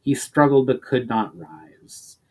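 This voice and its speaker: A man reading a fantasy novel aloud as a dramatic narrator, with expressive, theatrical phrasing. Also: medium pitch, slow. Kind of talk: dramatic audiobook-style narration.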